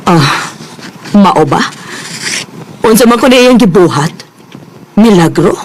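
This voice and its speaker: A woman's voice: mid-range voice, animated, slow pace, slight background noise. An actress with a Bisaya Filipino accent playing an older, suspicious mother who insinuates and nags in a radio drama. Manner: insinuating, nagging.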